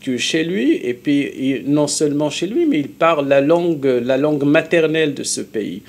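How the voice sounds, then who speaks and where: Halting man with a Moroccan accent. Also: fairly high pitch, animated, a little mellow. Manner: halting, repeats and rephrases himself. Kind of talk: live studio interview, explanatory. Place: television studio.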